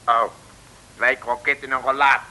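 An indignant, businesslike café boss, brusque and very forceful. The mid-pitched voice cuts sharply through a vintage radio comedy recording. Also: male voice, flat delivery, moderate pace. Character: gruff male café landlord, indignant but businesslike. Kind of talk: 1960s radio comedy serial acting.

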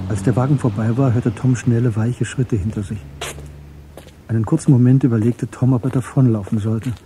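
A man narrating a scripted radio drama in a measured, detached storytelling manner. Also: mid-range voice, steady tone, background noise.

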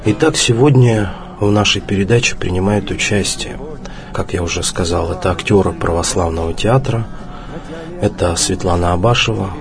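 A man presenting a solemn radio program as host, in a measured, formal way with deliberate phrasing. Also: low voice. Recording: music behind the voice. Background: background music.

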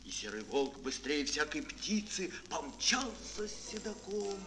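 Мужчина театрально и декламационно рассказывает детскую сказку как рассказчик аудиоспектакля. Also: male voice, on the high side, sing-song, measured pace, over music. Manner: theatrical, declamatory. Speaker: narrator. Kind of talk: dramatic fairy-tale narration for children. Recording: old mono record, faint noise.

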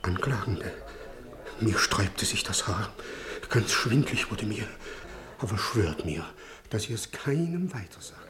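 A man's voice: fairly low pitch, big pitch swings, a little slow, crowd noise. An actor playing an awestruck man, confiding and portentous, in a 1950s German radio play. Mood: awed, confiding. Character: awestruck man secretively recounting a ghost sighting.

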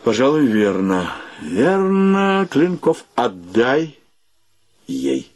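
A man's voice: medium pitch, wildly swooping pitch, extremely slow, a little mellow, heavily acted. An actor playing a fussy, bossy, comically indignant bohemian in a radio play, insistently repeating his words. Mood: disapproving. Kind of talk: theatrical radio play acting.

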